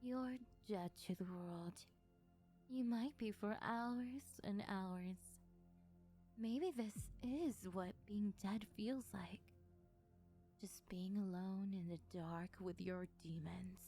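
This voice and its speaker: A woman playing a possessive, taunting female sleep paralysis demon in an ASMR roleplay, breathy and often whispering close to the mic, with a teasing, menacing intimacy. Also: medium pitch, slow pace, dark tone, soft background music. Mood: eerie, intimate. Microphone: close ASMR microphone.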